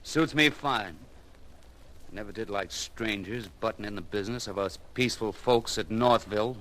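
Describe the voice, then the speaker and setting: An actor with a rural American accent playing a laconic, wary small-town logger in an old radio drama. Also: male voice, lowish voice, moderate pace, fairly bright tone, close to an everyday voice. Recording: old radio recording, faint noise.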